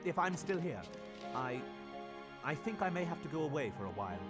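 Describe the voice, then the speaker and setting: A shy, stammering young musician. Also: male voice, on the high side, big pitch swings, brisk, over loud music.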